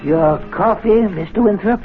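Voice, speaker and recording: An actor playing a sly, resentful older servant at a gentlemen's club in a 1970s radio drama, exclamatory and wordy. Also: male voice, sits high, a little slow, over music. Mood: deferential. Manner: scornful, exclamatory, deferential to his betters. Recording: lo-fi, noisy old radio broadcast.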